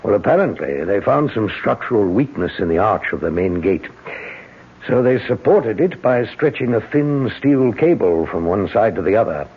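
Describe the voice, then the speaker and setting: A man's voice: lowish voice, very animated, moderate pace, husky, full character voice. A man playing a protective, wry, reassuring older uncle in a 1970s radio drama, heard through a tinny broadcast. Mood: wry relish.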